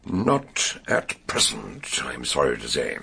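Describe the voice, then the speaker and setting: An eccentric older British sleuth, a learned doctor in a radio mystery. His low voice rumbles with gravel and swings widely in pitch. He speaks ponderously and theatrically, probing with emphasis. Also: wildly swooping pitch, slow pace, bright, forceful tone, full character voice. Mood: ponderous, musing. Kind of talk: British radio drama acting.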